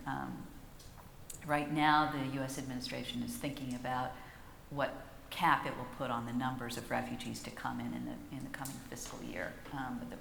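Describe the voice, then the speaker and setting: Low-voiced woman in an echoing hall. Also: vocal fry, fairly bright tone, slight background noise. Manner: measured, explanatory, with frequent fillers. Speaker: panelist. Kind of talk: panel discussion. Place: large conference hall. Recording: roomy hall reverb, faint ambience.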